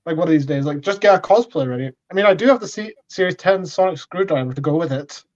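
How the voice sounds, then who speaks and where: Chatty Scottish man with a lively, swooping delivery, heard through a muffled lo-fi mic. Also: a little high, quick pace. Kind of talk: casual podcast chat. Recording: dull lo-fi mic.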